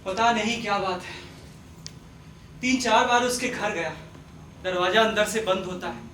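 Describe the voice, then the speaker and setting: Man acting a patient, kindly father, roomy stage. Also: sits high. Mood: concerned, hopeful. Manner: measured, gentle, reassuring. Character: kindly, forgiving middle-aged father, patient and principled. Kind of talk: Hindi stage play acting. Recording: roomy distant stage recording, faint noise.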